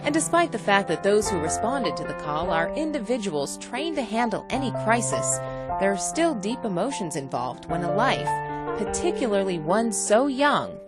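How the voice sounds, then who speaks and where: A woman delivers scripted documentary narration with expressive, varied intonation at a middling pitch. Prominent music plays beneath her voice throughout. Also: moderate pace. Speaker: narrator of a local fire department TV segment.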